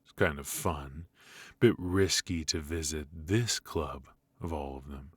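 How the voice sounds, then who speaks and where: A man playing a suave, menacing mafia boss in a noir ASMR roleplay, measured and mock-courteous, with a husky voice. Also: a bass voice, fairly bright tone, no room sound, full character voice. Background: faint music.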